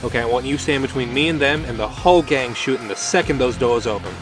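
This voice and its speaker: A man's voice: medium pitch, fast talker, music in the background, lightly acted. A man voicing a stern, commanding middle-aged mob boss and father in a comic book dub. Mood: curt, urgent.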